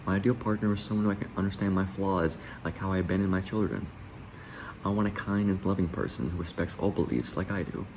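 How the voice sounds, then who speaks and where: Brief: a man voicing a vain philosopher, very deep voice, quick read. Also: darkish tone, slight background noise, rough recording. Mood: proud. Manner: read-aloud, self-praising. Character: self-important male philosopher.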